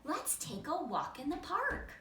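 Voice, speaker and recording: A woman's voice: high voice, wildly swooping pitch, measured pace, pitched above the performer's own voice, exaggerated voice. A woman voicing an emphatic, blustering father from a children's picture book. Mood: pleasant. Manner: loud, emphatic declarations. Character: blustering storybook father, Mister Blair. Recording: roomy, distant, noisy home recording.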